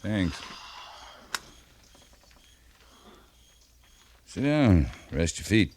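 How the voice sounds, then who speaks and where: A man's voice: sits low, sing-song, takes it slowly, a little mellow, heavily acted. A man playing a seasoned, commanding cavalry captain in a 1950s radio western, speaking in a clipped, dryly understated, authoritative way. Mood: calm, low-key. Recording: noisy vintage 1950s radio recording.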